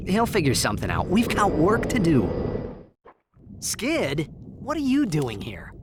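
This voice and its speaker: An actor playing an awkward, plucky young alien hero with a casual, hesitant, boyish way of talking in a cartoon video game. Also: male voice, fairly high pitch, wildly swooping pitch, measured pace, very noisy. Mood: wry.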